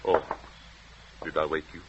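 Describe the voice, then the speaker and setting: An actor playing a stern, secretive doctor husband in an old radio drama, speaking in a curt, evasive, commanding way. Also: male voice, very deep voice, level tone, a little slow. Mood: guarded, insistent. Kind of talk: 1940s radio drama acting. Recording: dull, noisy old radio recording.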